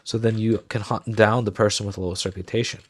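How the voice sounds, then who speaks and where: A man's voice: on the low side, flat delivery, fast talker, no echo. A man livestreaming a game build, talking casually and thinking aloud as he types commands, with a put-on Irish accent. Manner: thinks aloud, muttering commands while typing. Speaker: streamer.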